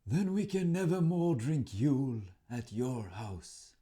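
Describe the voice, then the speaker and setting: A man voicing a wary troll who calls out loudly as if across a forest. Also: mid-range voice, sing-song, pitched above the performer's own voice. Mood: resigned. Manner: loud, drawn-out calling. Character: distant troll calling warily through the forest.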